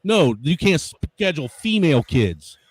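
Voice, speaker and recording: A man's voice: a little high, sing-song, moderate pace, husky, no room sound. A man in his forties hosting a live stream, ranting crudely and swearing constantly in casual chat. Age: 40s. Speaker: show host.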